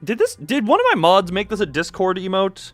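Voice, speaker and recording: A man's voice: high-pitched, wildly swooping pitch, brisk, a bit bright, no room sound, soft background music. A man hosting a livestream, rambling casually and jokingly as he goes off on tangents and talks back to chat. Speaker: livestream host, streamer.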